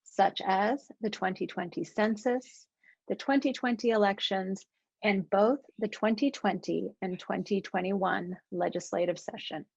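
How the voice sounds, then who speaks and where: Slow-speaking woman announcing an award. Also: mid-range voice, vocal fry, breathy edge, no echo. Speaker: award presenter. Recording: dry, dull recording.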